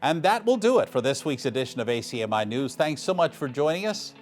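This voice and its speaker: A man's voice: mid-range voice, sing-song, quick pace. A man anchoring local news, reading scripted stories in a playful, mock-dramatic way. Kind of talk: scripted news narration with light humor.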